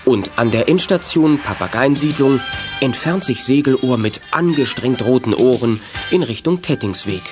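A man's voice: mid-range voice, expressive, moderate pace, over music, lightly acted. A man narrating a youth detective radio play as a scripted storyteller. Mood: storytelling.

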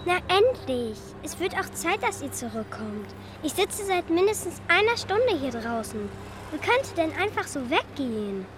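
A woman's voice: extremely high pitch, very animated, moderate pace, background noise. A female performer voicing a bossy, whining little girl in a radio drama, with faint ambience behind. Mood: reproachful.

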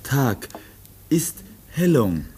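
An actor playing a childlike, bewildered young foundling in a radio play, speaking in halting, broken, simple phrases. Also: male voice, mid-range voice, very animated, darkish tone, breathy edge, full character voice. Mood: wondering, halting. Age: young adult. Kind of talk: German radio play acting.

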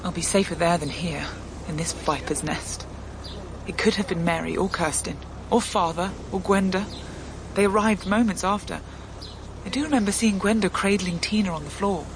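An actress with a British accent playing a troubled, bitter young woman in a radio drama, subdued and brooding, with a creaky voice. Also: on the low side, normal speed, lightly acted. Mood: bitter, wary. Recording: noisy background ambience.

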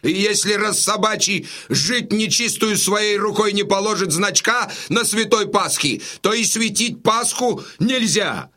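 Casting: aggrieved Cossack messenger, high sharp male voice, slow emphatic radio-play declamation. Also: exaggerated voice. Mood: bitter, indignant. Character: agitated ragged Cossack messenger bearing grim news, indignant. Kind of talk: theatrical audio drama declamation.

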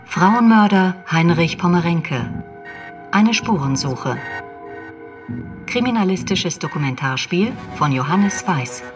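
A woman reads radio play credits as a scripted announcement. She speaks slowly at a medium pitch, with music clearly behind her. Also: animated.

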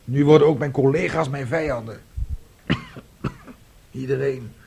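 A man's voice: mid-range voice, slow pace, vocal fry, warm tone, breathy edge, heavily acted. An actor playing a middle-aged, proud and self-pitying father in a radio drama, speaking theatrically. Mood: aggrieved, indignant. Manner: theatrical, indignant, emphatic.

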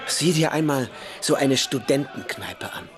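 An actor playing a calm, prophetic young man who speaks solemnly and with assurance in a German radio play. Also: medium pitch, measured pace, background noise, close to an everyday voice. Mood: grave, prophetic.

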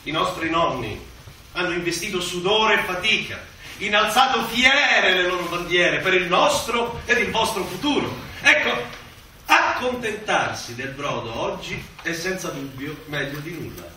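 A grandiose boss delivering a theatrical monologue. His high voice swings widely between hushed and booming declamation. He sounds far off in a very echoey space. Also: expressive, measured pace, full character voice. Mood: pompous, rousing. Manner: declamatory, dramatic swells and drops. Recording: echoey noisy stage, far from microphone.

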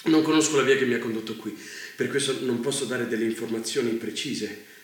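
An actor playing a wary, deferential guest in a dystopian stage drama, speaking haltingly and apologetically. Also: male voice, mid-range voice, close to an everyday voice. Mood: guarded, reporting. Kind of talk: Italian theatre dialogue. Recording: very echoey theatre performance.

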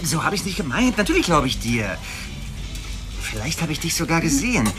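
A man's voice: on the high side, very animated, a little fast. An actor playing an eager, admiring young male film buff chatting casually in a German radio drama. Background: music.

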